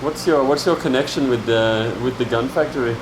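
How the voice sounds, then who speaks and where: An Australian man talks to his camera in an easy, low-key travel-vlog manner. His voice sits at an ordinary middle pitch. A loud steady hum runs under everything he says. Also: a little fast. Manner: relaxed, low-key commentary. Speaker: travel vlogger. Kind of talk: casual travel vlog narration. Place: workshops and rooms in a weapons factory.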